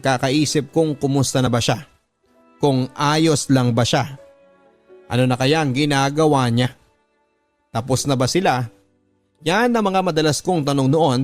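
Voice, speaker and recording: A man with a Filipino accent reads a first-person story as a radio drama narrator, earnest and measured, drawing out the ends of his phrases. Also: on the high side. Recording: music under the voice. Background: background music.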